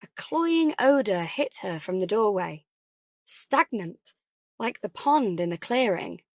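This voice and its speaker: A woman narrates fiction for an audiobook. Her voice sits at a middling pitch and follows a written story, recorded very dry with no room around it. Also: expressive, takes it slowly, a little mellow, no room sound.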